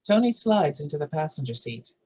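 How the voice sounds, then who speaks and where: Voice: medium pitch, very animated, fairly quick, a little mellow, husky. A narrator reading scene headings and action lines from a screenplay at a table read. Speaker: narrator reading stage directions.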